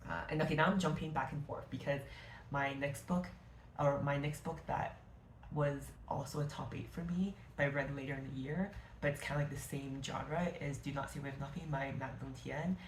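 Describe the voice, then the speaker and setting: A woman's voice: extremely low pitch, steady tone, fairly quick, a little hiss or hum. A woman reviewing her favourite books of the year in a casual, gushing, rambling way, often correcting herself mid-sentence. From Canada. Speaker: book vlogger. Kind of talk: casual book review to camera. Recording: echoey room.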